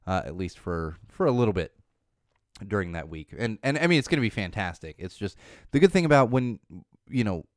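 A man's voice: on the low side, very animated, fairly quick, no echo. A man, hosting a casual podcast from his home studio, talking in a rambling way with many restarts, his voice still slightly off from an ear infection. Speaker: podcast host.

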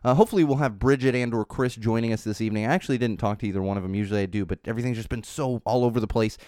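A man's voice: mid-range voice, rapid-fire, darkish tone, smooth, dry recording. A man hosting his own podcast, talking in a casual, rambling way while still congested from a cold, recorded on a studio microphone. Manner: chatty, rambling, self-promoting. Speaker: podcast host. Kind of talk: casual solo podcast talk. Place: small studio.

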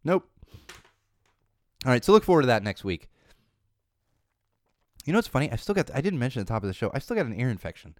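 A man's voice: mid-range voice, sing-song, fast talker, pauses often, darkish tone, dry recording. A man, hosting a casual podcast from his home studio, talking in a rambling way with many restarts, his voice still slightly off from an ear infection. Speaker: podcast host.

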